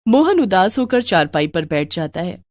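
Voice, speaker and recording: A woman's voice: on the low side, very animated, normal speed, dry recording, clean studio sound. A woman narrating a scripted moral bedtime story for children.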